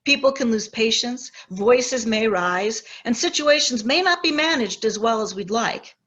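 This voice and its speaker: A woman's voice: on the high side, expressive, measured pace, fairly bright tone, muffled recording. A woman guest preacher giving a sermon told through personal anecdotes.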